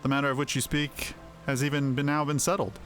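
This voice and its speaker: A man's voice: medium pitch, little pitch movement, a little fast, a bit bright, close to an everyday voice. A man voicing a gracious female elder seer from a fantasy game, in formal, archaic phrasing. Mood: solemn, gracious. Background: faint music.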